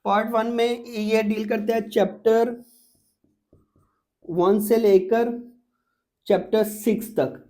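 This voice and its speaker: A man's voice: high-pitched, flat delivery, slow pace, pauses often, warm tone. A man with a Pakistani accent giving an exam-prep lecture, explaining step by step as a teacher and often repeating points with little check-in words.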